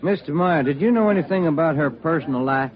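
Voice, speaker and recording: An actor playing a polite but pressing police detective, plain and direct, in a 1950s radio drama. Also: male voice, fairly high pitch, animated, fast, close to an everyday voice. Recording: tinny old radio, faint music.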